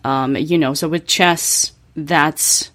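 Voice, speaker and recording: Female guest, Romanian-accented English, lower pitch, thoughtful and hesitant delivery. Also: steady tone, slow pace, a bit bright. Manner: thoughtful, hesitant, many ums and restarts. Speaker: guest, chess grandmaster and coach. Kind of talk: interview conversation.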